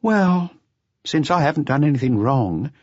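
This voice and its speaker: A brash young dancer woman, high-pitched, shrill and indignant, her voice leaping far above the reader's own, as a man puts her on. The recording sounds dull and dry, with a slightly husky edge. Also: big pitch swings, a little slow, darkish tone, dry recording. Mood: sulky defiant.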